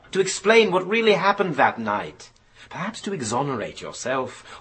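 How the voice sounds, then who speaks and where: Formal inquiry chairman, expressive and deliberate. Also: a little high, husky, heavily acted. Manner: formal, deliberate, official phrasing. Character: measured, formal male inquiry chairman, probing and regretful. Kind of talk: radio drama acting.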